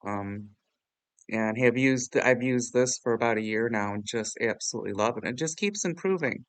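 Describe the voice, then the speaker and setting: A man hosting a software tutorial in a casual, rambling, self-deprecating way. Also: mid-range voice, normal speed, no echo. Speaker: tutorial host.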